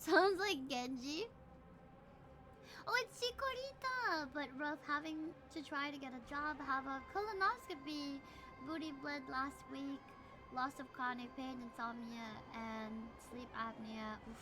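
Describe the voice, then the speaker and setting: A woman live streamer chatting casually and politely with her viewers in a soft-hearted way. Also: sits high, lively intonation, measured pace. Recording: clearly noisy stream audio.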